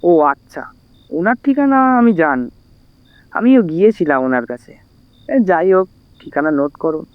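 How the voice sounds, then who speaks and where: A man's voice: on the high side, expressive, unhurried, long pauses, smooth, no room sound. A man playing a genial, chatty village uncle in an audio drama, familiar and folksy, through a telephone filter. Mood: genial, helpful. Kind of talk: Bengali audio story acting. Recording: thin, phone-like narrow band.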